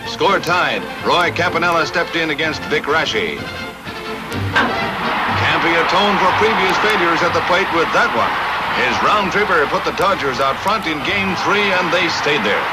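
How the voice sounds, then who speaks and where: A man's voice: a little high, low-quality recording. An American man narrating a 1950s sports newsreel from a script in a punchy, dramatic, folksy showman's manner. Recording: vintage newsreel soundtrack with music. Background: loud background music.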